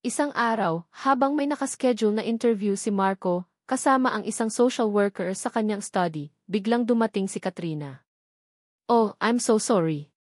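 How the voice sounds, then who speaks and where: A woman's voice: medium pitch, lively intonation, normal speed, dry recording. Female storyteller for scripted dramatic narration; mid-range voice, dry recording.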